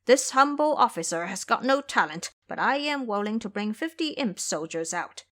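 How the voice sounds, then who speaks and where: A woman's voice: fairly high pitch, lively intonation, moderate pace, a bit bright. A woman voicing a humble but eager male tiger monster officer, deferential and reassuring, in an audio drama.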